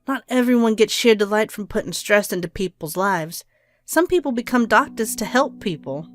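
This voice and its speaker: A woman's voice: mid-range voice, expressive, normal speed, no room sound, clean studio sound, lightly acted. Audio drama role: performer as a domineering, clinical woman doctor, impatient and scheming, faint music underneath. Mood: cold, calculating. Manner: curt, commanding, clinical.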